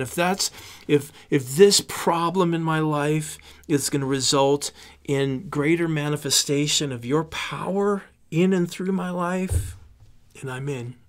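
A man in his fifties preaching a sermon, reading scripture with rising emphasis and dropping to a hushed tone for prayers, on a desktop studio microphone in a small indoor studio. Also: a little high. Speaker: pastor. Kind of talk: sermon teaching through a psalm. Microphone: large desktop studio microphone.

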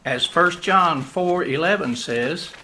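A man playing a kindly country pastor in a radio drama, measured and reassuring. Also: on the high side, pushed and bright, a little hiss or hum. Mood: solemn. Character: kindly country pastor officiating a Christmas Eve wedding. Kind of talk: radio drama acting, wedding sermon.

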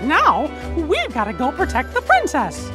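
A cheerful cartoon mouse king with a falsetto squeak. He sounds earnest and upbeat, swinging widely in pitch at an unhurried pace. Soft game music plays behind. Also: wildly swooping pitch, exaggerated voice. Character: cheerful high-voiced cartoon mouse king, adult male.

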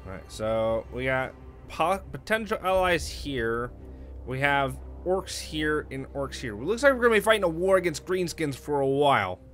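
A man hosting a let's play, giving casual, excitable gameplay commentary and thinking out loud. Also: fairly high pitch, moderate pace, soft background music.

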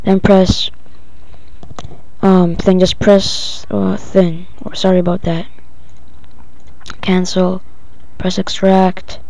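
Casual tutorial presenter, female-sounding, monotone and improvised, lo-fi muffled home recording. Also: mid-range voice, vocal fry. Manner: halting, improvised step-by-step instructions.

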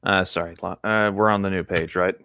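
A man's voice: sits low, steady tone, brisk, pushed and bright, dull audio, slight background noise. A man chatting casually and reading a novel aloud among friends. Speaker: co-host reading a book aloud for commentary.

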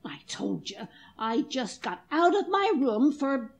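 Outspoken American miss, 1940s radio mystery: high voice, animated swoops, feisty and unflappable, plain speech. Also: female voice, slow pace, muffled recording, exaggerated voice. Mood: defensive. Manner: plain-spoken, feisty, indignant. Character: brisk, unflappable, outspoken American single woman.

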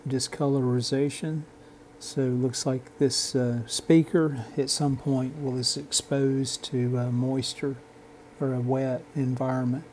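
A man narrating an instructional repair video in a halting, measured, technical way. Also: mid-range voice, darkish tone, slight background noise. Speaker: host of a radio repair channel.

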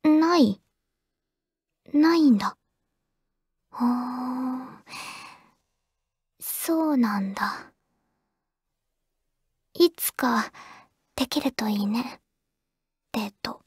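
A woman playing a shy, awkward teenage girl on the phone with her childhood-friend crush, in an anime audio drama. She speaks softly in an intimate, hesitant, stammering way with a breathy, whispery voice. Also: high voice, very slow, pauses often, no room sound, clean studio sound, heavily acted. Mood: tender, coy.

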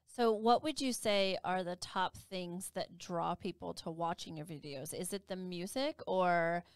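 Playful female co-host, clear voice. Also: fairly low pitch, no echo, clean studio sound. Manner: playful, quick to laugh and riff. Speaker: podcast co-host, wedding expert. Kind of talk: casual podcast chat. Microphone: studio mic on boom arm, headphones.

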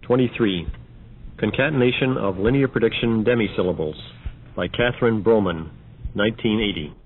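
A man reading scripted, numbered announcements in a measured, matter-of-fact narrator's manner. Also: on the low side, a little hiss or hum. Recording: dull band-limited old audio.

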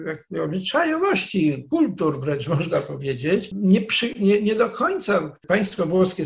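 Older guy, professor type, very gravelly voice, a bit high, talks slow and careful and keeps correcting himself, on a webcam from his study. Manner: measured, digressive, with restarts and self-corrections. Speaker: professor, interview guest. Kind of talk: explanatory academic talk. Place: study with dark wooden shelves, indoors.

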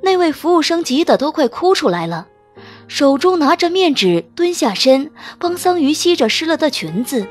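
Female audiobook narrator for romance fiction, high-pitched, expressive range, light music underneath. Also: moderate pace. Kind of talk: dramatic scripted narration of a romance novel.

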